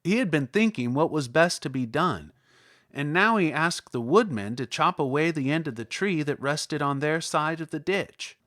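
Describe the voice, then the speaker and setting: A man's voice: fairly high pitch, animated, moderate pace, fairly bright tone, no echo, studio quality. A man reading a children's storybook aloud as a scripted narration. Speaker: audiobook narrator.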